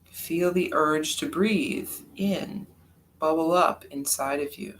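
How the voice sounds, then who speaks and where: A yoga nidra teacher leading a guided meditation in a calm, unhurried, soft-spoken way with long pauses. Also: medium pitch, a little hiss or hum, poor audio.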